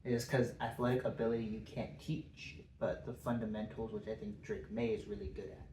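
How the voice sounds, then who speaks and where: A man's voice: on the low side, level tone, brisk, a little mellow. A man with a congested voice, chatting casually about football as a podcast co-host, hesitant with many ums. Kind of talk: casual sports chat. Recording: echoey room, faint noise.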